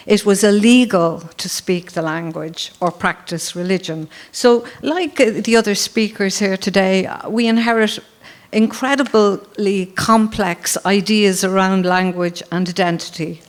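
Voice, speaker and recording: An Irish woman poet at a festival panel, reading her poems aloud in a slow, measured way at a microphone. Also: mid-range voice, steady tone. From Ireland. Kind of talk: panel talk and poetry reading. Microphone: handheld or stand mic.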